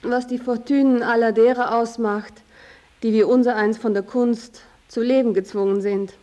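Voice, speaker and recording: A woman's voice: on the high side, fairly even intonation, normal speed, warm tone, natural voice. An actress playing a refined, knowing society woman in a radio drama, with a formal manner. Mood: ironic. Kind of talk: broadcast radio drama acting.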